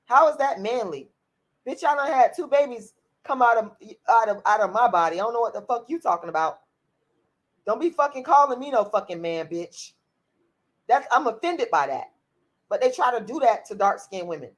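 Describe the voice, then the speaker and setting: Raspy, blunt woman livestreamer. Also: a little high, lively intonation, moderate pace. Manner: blunt and profane, repeats herself; outspoken. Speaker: channel host reading viewer comments. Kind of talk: livestream commentary.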